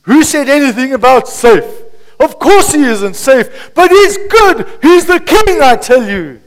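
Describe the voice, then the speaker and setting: A man's voice: extremely high pitch, animated, a little slow, pitched above the performer's own voice, low-quality recording. A man doing an insistent male beaver.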